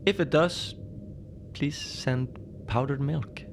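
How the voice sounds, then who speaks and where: A man playing a mild, lonely Antarctic station manager in a cozy horror audio drama, speaking in a hesitant, understated deadpan as he logs his shift. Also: medium pitch, takes it slowly. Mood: calm, hopeful. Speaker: narrator of a fiction podcast. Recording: noisy ambient bed behind the voice.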